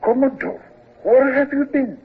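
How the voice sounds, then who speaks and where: An actor playing an effusive, formal Russian general in an old radio drama. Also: male voice, extremely high pitch, measured pace, husky, heavily acted. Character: courtly Russian general, older man, effusive. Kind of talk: 1950s radio drama acting. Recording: tinny old radio broadcast with hiss.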